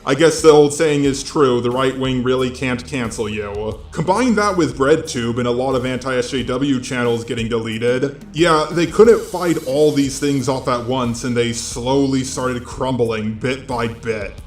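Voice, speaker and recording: A man's voice: mid-range voice, a little slow, few pauses. Robot voice guy doing scripted commentary, echoey, bit of music behind. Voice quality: synthetic robot voice. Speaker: host of a video commentary channel. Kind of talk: scripted video essay commentary. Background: faint background music.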